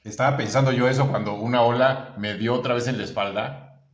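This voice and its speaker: A man's voice: medium pitch, fairly even intonation, a little fast. A man from Veracruz with a Mexican accent chatting casually with an old friend, rambling and often searching for his words. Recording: roomy echo, dull lo-fi sound.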